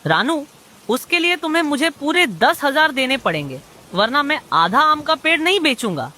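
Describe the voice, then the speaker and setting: Woman voicing a high, bright greedy male crow. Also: big pitch swings, normal speed, full character voice. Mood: suspicious, calculating. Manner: blunt, bargaining, dismissive. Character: greedy, grumbling male crow who sells mangoes. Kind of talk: animated children's moral story dialogue.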